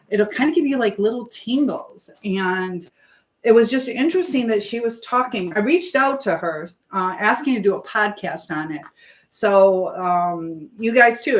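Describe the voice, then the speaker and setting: A woman hosting a live stream in a casual, rambling chat, drifting between topics as she keeps busy with chores. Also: medium pitch.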